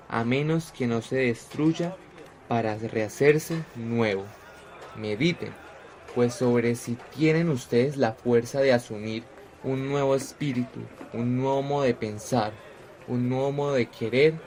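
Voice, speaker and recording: A man's voice: mid-range voice, darkish tone, natural voice. A man playing a solemn preaching disciple. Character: Esteban, a gentle earnest male disciple. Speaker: disciple exhorting the crowd. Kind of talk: radio drama acting.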